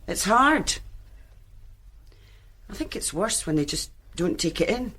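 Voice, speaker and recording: An actress playing a calm female police detective, questioning a witness in a measured, probing way. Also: mid-range voice, slightly rough, full character voice. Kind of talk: radio drama acting.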